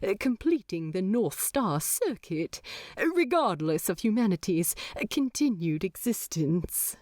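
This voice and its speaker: A woman's voice: mid-range voice, very animated, measured pace, darkish tone, dry recording, studio quality. A woman voicing a flustered, fussy male angel who stammers and frets. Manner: stammering, fretful.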